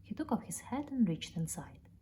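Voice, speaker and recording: Lively low-pitched woman reading a storybook. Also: normal speed. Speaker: storybook reader. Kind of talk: expressive children's story read-aloud. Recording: noticeable background noise, ambience.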